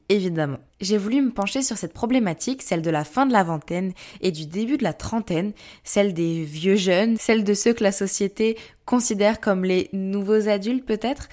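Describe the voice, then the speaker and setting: Young woman podcaster, student, talks soft and steady, mid pitch. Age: young adult. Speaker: podcast host. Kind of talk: casual podcast monologue.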